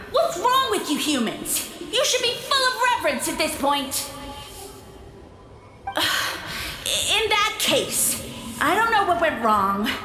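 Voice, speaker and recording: Pompous, echoing mushroom sage lord. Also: female voice, high voice, noisy background. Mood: indignant. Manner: haughty, theatrical, grandly formal. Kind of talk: video game character acting. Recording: roomy echo with music behind.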